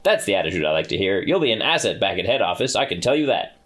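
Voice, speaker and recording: Man playing a pompous self-assured archangel boss, husky, patronizing. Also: low-pitched, very animated, quick pace, a bit bright, full character voice. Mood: smug. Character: breezy, pompous male archangel official.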